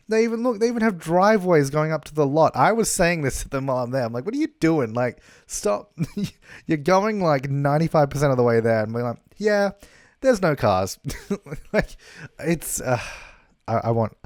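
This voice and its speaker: A man's voice: fairly high pitch, dry recording, clean studio sound. A young man chatting casually over gameplay, rambling with lots of fillers and laughs, his voice a little worn, recorded in a hotel room. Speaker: gaming YouTuber. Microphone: travel recording setup.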